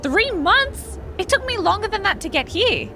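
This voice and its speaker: A woman's voice: extremely high pitch, big pitch swings, moderate pace, bright, forceful tone, slight background noise, heavily acted. A woman playing a brash, mocking young pro wrestler with an Australian accent in a video game cutscene. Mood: scornful. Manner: blunt, scornful.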